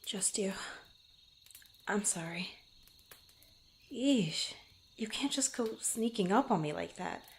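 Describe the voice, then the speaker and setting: A woman playing a guarded, bantering young swordswoman in an intimate fantasy ASMR roleplay, speaking haltingly to the listener. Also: medium pitch, expressive, vocal fry, slight background noise. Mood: startled, mock stern. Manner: flustered, bantering, halting, often sighing or chuckling. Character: guarded, teasing young adventurer swordswoman, former street thief.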